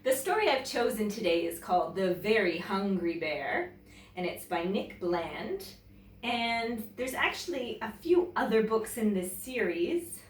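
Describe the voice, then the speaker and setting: Animated Canadian woman storyteller in an echoey room. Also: medium pitch, recorded from a distance, slight background noise. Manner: expressive, deliberate storytelling. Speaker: teacher reading stories to children. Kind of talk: children's storybook read-aloud with casual introduction. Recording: roomy echo, away from the mic.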